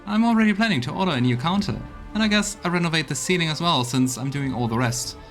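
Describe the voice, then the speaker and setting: An optimistic fantasy game shopkeeper , as a man acts him out chatters cheerfully. His voice sits raised in pitch, over soft music. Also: lively intonation, fast. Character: upbeat, optimistic male shopkeeper planning renovations.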